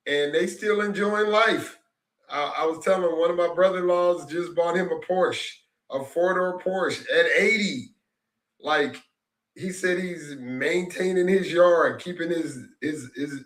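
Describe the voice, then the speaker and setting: A man's voice: on the high side, fairly bright tone. A man in his sixties hosting a casual reflective chat, rambling and repeating himself in an upbeat way. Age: 60s. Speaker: host. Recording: echoey room.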